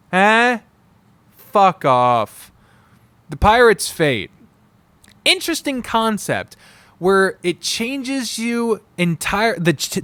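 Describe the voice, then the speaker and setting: A man giving an unscripted, casual review, blunt, profane and opinionated, often halting, digressing and trailing off mid-sentence. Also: sits high, no echo. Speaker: visual novel reviewer.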